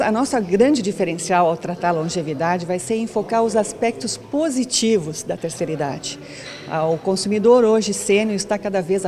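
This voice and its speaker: A woman's voice: medium pitch, a little mellow. A middle-aged woman with a Brazilian accent giving interview answers as an event's project director. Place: event lounge. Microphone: handheld interview microphone.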